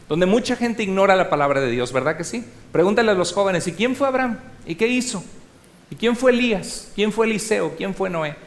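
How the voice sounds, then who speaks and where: Male pastor, fairly high voice, emphatic sermon delivery with rhetorical questions and hushed asides. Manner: swings between loud exhortation and soft asides.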